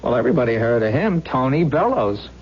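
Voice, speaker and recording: An actor playing a talkative, easygoing police officer in a 1970s radio drama, folksy, informal and deferential. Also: male voice, medium pitch, big pitch swings, a little fast, slightly rough. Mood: chatty, deferential. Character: easygoing, talkative male beat cop, eager to please. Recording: muffled, noisy vintage radio broadcast recording.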